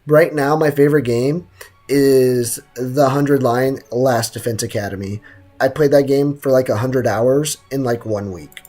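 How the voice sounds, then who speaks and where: Young man streaming, mid-pitched and slangy. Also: a little slow, a little mellow. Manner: joking, slangy. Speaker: livestreamer. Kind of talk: casual game stream commentary.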